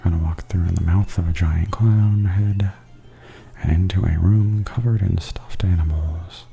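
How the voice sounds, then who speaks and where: A man giving softly spoken ASMR tour commentary in a breathy half-whisper, calmly and gently describing things as a host. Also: low-pitched, faint music behind. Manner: calm, unhurried, gently descriptive.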